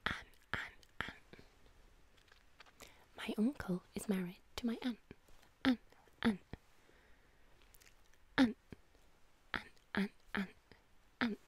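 A young woman with a Mancunian accent, whispering soft-spoken ASMR and slowly repeating single words close to the mic. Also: mid-range voice, slightly rough. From England. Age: young adult. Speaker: ASMR creator. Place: indoor studio with plain backdrop.

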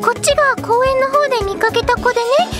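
A woman's voice: extremely high pitch, brisk, loud music behind the voice. A woman playing a sweet, dreamy, cat-loving schoolgirl in a Japanese anime radio drama, with a cutesy, gushing manner. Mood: cheerful, chatty. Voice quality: cutesy, girlish.

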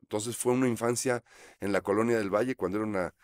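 Mexican male guest, 50s, low-pitched, relaxed and reflective anecdotes, close studio mic. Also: fast talker, no echo. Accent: Mexican Spanish. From Mexico City. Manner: reflective, gestures while talking, frequent fillers. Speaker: actor guest. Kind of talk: relaxed storytelling interview. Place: small studio set. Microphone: studio mic on boom arm.

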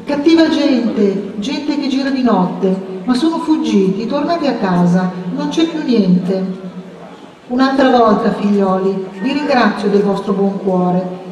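A woman voicing a timid old village priest who slowly and evasively tries to send a crowd home, recorded in a roomy space away from the mic. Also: a little high, lively intonation, a little mellow, slight background noise. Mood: placating. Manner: slow, placating and evasive. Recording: echoey long reverb from far off.

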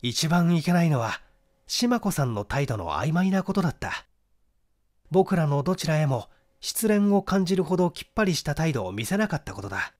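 An earnest young gentleman in a mystery audiobook, played by a male reader, explains himself in a reasoned, confessional way. The voice sits slightly high and moves with lively inflection. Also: normal speed. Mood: confessional, reasoning. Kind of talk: dramatized audiobook dialogue.

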